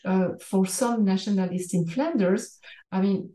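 Mellow woman academic, roomy sound. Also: mid-range voice, a little slow. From Belgium. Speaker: academic researcher, interview guest. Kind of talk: explanatory interview answer.

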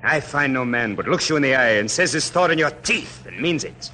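An actor playing an ardent, idealistic young French officer, speaking in an impassioned, oratorical radio drama style. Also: male voice, mid-range voice, expressive, a little fast, sharp tone. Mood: earnest. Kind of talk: classic radio drama acting. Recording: noisy old radio recording.

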